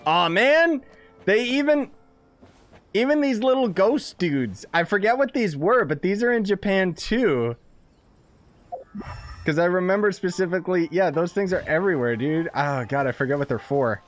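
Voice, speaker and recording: A man's voice: sits high, expressive, moderate pace. Young man streaming a game, casual and slangy. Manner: slangy, joking, sarcastic. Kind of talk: casual live gameplay commentary. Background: faint game music.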